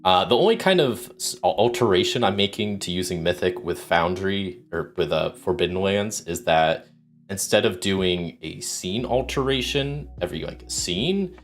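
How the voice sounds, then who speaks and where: A man's voice: sits low, lively intonation, moderate pace, soft background music. A man streaming a solo tabletop game, chatting casually and rambling through rules with many ums while fighting off a sore throat. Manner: rambling, many ums and restarts, greets chat viewers. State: sick with sore throat, starting to lose his voice. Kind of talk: casual livestream chat explaining game rules.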